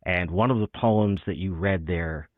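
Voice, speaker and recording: Male poetry host, very low voice, thin phone-like audio. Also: unhurried. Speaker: interviewer and host. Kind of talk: literary chat and poetry reading. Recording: echoey, band-limited phone-like sound.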